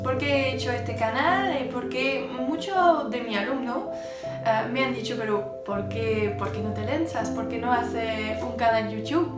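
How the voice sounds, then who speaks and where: A woman's voice: on the high side, a little slow, distant mic. A woman from France with a French accent, chatting casually to camera as a baking vlogger, with frequent hesitant fillers. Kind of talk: casual vlog chat to camera. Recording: echoey room, voice off-mic, music behind.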